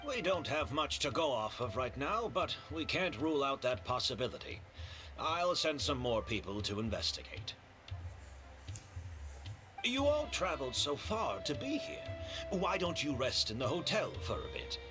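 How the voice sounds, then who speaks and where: A man's voice: mid-range voice, sing-song, normal speed, over music, lightly acted. An actor playing a courteous, apologetic male hotel host in a video game, with a polite, explanatory way of talking. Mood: matter-of-fact.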